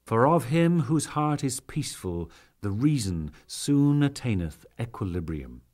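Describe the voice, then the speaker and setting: A man giving a dramatic, solemn and measured reading of scripture as an audiobook narrator. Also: mid-range voice, sing-song, a little mellow. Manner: solemn, measured, theatrical delivery with archaic diction.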